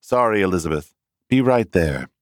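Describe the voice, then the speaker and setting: A man's voice: sits low, expressive, normal speed, dry recording, studio quality, pitched above the performer's own voice. A man voicing a confident, well-off young male researcher who talks breezily and a little formally. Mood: breezy. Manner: breezy, talkative, slightly formal. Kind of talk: audiobook character reading.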